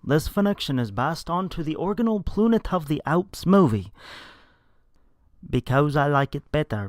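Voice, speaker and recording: A man's voice: medium pitch, no echo, clean studio sound. A man reading a story aloud in a dramatic, comedic style with theatrical, emphatic delivery. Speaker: narrator reading a fan fiction story aloud.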